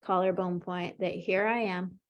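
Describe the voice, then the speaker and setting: A woman coaching an emotional tapping session, calmly leading her client through short phrases to repeat, in an encouraging way. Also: fairly low pitch, unhurried, dull audio. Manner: calm, encouraging, leads with short prompts.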